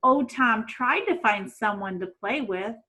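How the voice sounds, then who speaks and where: A woman reading a children's picture book aloud in a dramatic, deliberate storytelling manner. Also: a little high, pushed and bright. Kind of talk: expressive storybook read-aloud.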